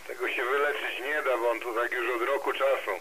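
A man's voice: mid-range voice, steady tone, a little fast. A man arguing casually over amateur radio, blunt and scolding, heard through a radio transmission. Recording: very noisy amateur radio link.

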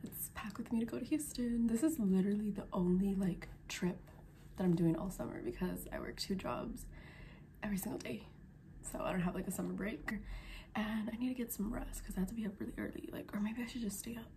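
A woman's voice: mid-range voice, brisk, creaky, noisy background. A young woman in her twenties vlogging casually from her bedroom, sounding congested after being sick, chatty and full of "like", sometimes dropping to a whisper. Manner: chatty, lots of "like", drops to whispers. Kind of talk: casual vlog chat. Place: bedroom at night. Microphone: phone camera held close.

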